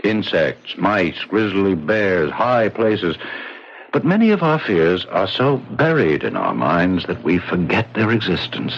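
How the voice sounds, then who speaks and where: An American actor playing a smooth, measured, faintly condescending psychiatrist in a 1970s radio drama. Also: male voice, low voice, wildly swooping pitch, full character voice. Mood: calmly probing. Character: smooth, probing male psychiatrist with unsettling calm. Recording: dull, noisy 1970s radio recording.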